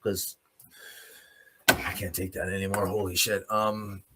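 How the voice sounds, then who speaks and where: A man's voice: deep voice, little pitch movement, unhurried, heavy background noise. A man hosting a solo commentary show, delivering a blunt, off-the-cuff opinionated monologue with free use of swearing.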